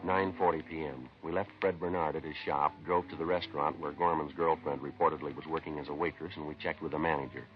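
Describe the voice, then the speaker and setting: An actor playing a terse, deadpan police sergeant who narrates his case in a 1950s radio crime drama. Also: very deep voice, fast, few pauses, faint music behind. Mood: matter-of-fact narrating. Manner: clipped, deadpan, matter-of-fact. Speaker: series lead and narrator. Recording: dull vintage radio recording.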